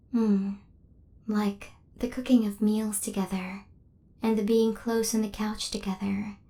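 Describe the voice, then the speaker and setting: Woman voicing a female robot, mellow half-whisper, even flat intonation, formal precise wording, tenderly possessive, intimate ASMR roleplay. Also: medium pitch, close to an everyday voice. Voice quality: soft, breathy half-whisper. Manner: formal, precise, measured machine-like phrasing.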